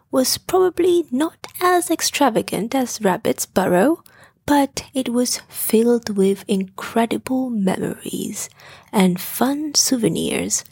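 A woman narrating a bedtime story in a hushed, gentle manner. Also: a little high, vocal fry, breathy edge, no room sound, studio quality.

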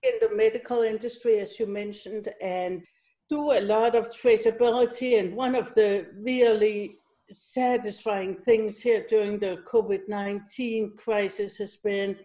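A woman's voice: mid-range voice, fairly even intonation, a little slow, mellow. A middle-aged woman from Denmark, answering interview questions conversationally as a company executive. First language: Danish.

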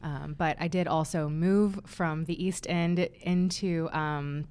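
A woman with a small, soft voice chatting enthusiastically on a panel. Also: low-pitched, fairly even intonation, slow pace, clear, resonant tone. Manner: bubbly, enthusiastic. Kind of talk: casual panel chat.

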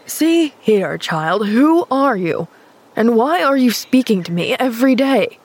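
A woman voicing a curt, aloof middle-aged gentleman in a storybook, with a sharp, gruff, grunting manner. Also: a little high, very animated, measured pace, exaggerated voice. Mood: gruff, startled. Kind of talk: storybook character voice.

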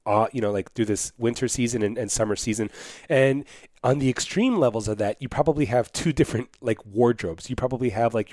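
Nasal, casual fitness podcast host, dry sound. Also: male voice, mid-range voice, no room sound. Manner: casual, energetic coaching with many fillers. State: sick, slightly nasal. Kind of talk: solo podcast talk.

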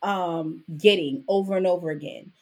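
A Jamaican-born woman raised in Brooklyn, a podcast guest telling her story in a lively, chatty way with frequent "right?" asides. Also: on the low side, moderate pace. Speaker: podcast guest, author and business owner. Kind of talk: casual interview conversation.

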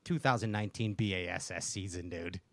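A man's voice: sits low, brisk, noisy background. A man hosting a podcast, laid-back, laughing often and calling people "dude". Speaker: podcast host. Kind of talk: casual podcast chat.

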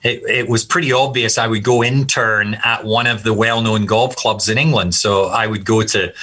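A man in his fifties with an accent that sits between Scottish and American. His voice is bright and forceful, and he answers thoughtfully, stopping often with "uh" and starting phrases again. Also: fairly low pitch, steady tone, normal speed, breathy edge. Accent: mixed Scottish and American. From Scotland. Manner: reflective, hesitant, frequent uh and restarts. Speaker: golf course architect guest. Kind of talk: conversational interview answers.

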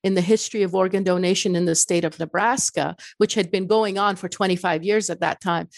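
A woman's voice: on the low side, dry recording. A middle-aged woman whose first language is Farsi, speaking as an author in an interview in an earnest, reflective way with many restarts. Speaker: author and guest. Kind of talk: interview conversation.

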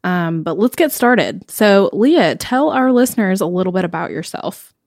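A woman's voice: medium pitch, lively intonation, normal speed, darkish tone, no echo, clean studio sound. A woman in her late twenties from Tennessee, co-hosting a podcast in a chatty, upbeat way with frequent ums. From Knoxville, Tennessee. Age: 20s. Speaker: podcast co-host. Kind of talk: casual podcast chat.

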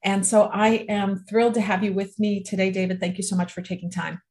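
An upbeat, enthusiastic woman hosting a podcast, opening with a scripted intro and moving into relaxed interview chat. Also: mid-range voice, fairly quick. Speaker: podcast host. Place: island home in Mexico.

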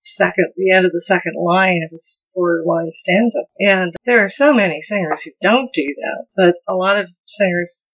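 A woman's voice: fairly low pitch, normal speed, few pauses, husky. An older woman from the United States with a toned-down mid-Atlantic accent, a folk musician talking in an interview, thoughtful and often restarting her sentences. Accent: mid-Atlantic American. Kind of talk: relaxed interview conversation. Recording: slightly dull lo-fi sound.

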